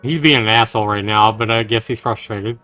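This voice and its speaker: A man's voice: lowish voice, brisk, bright, forceful tone. A man hosting a game livestream, reading the story text aloud and adding earnest, casual commentary straight to his listeners. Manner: earnest, talking directly to listeners. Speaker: livestream host playing a visual novel. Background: faint game music.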